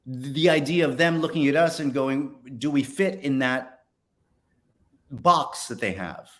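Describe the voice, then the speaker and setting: A man's voice: mid-range voice, measured pace, fairly bright tone. A man conducting a casual, conversational interview with a fellow actor.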